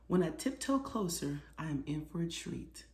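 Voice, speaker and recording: A middle-aged woman reading a children's storybook aloud expressively, pausing to put questions to her young listeners. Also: sits low, animated, darkish tone. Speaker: children's author reading her own book. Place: small living room, seated on a sofa. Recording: roomy indoor echo.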